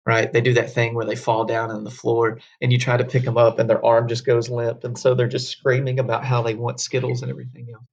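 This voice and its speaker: A man's voice: mid-range voice, monotone, fairly quick, creaky, hardly any pauses. A man teaching a history class in a folksy, conversational way, asking rhetorical questions as he tells stories. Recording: dull lo-fi classroom recording.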